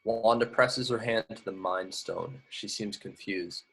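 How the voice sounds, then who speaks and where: A man's voice: lowish voice, a little slow, gravelly, fairly bright tone, slight background noise. A man reading screenplay action lines at a table read, matter-of-fact with the odd stumble. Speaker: stage-direction reader.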